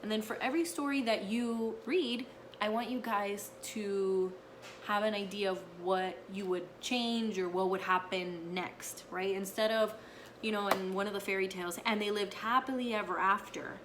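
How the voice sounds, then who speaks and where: A woman teacher talking casually to her young pupils and reading a picture book aloud, often checking in with a quick okay or right. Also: mid-range voice, fairly even intonation, a bit bright, a little hiss or hum. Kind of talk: casual read-aloud with explanations to children.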